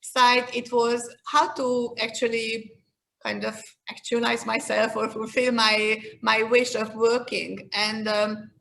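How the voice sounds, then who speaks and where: A woman's voice: fairly high pitch, level tone, slow, vocal fry, a bit bright, slight background noise, low-quality recording. A woman in her fifties from Hungary with a Hungarian accent, answering interview questions in a reflective, conversational way. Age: 50s. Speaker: interview guest, leadership expert.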